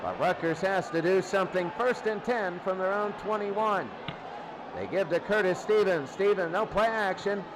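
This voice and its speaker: A man doing excitable play-by-play football commentary in short, repeated phrases. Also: high-pitched, moderate pace, background noise. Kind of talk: American football radio-style commentary.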